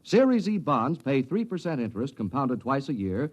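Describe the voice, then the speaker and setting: A man's voice: mid-range voice, big pitch swings, normal speed. A man reading a 1950s radio commercial in an emphatic, salesmanlike way. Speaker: advertising announcer. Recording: dull vintage radio recording.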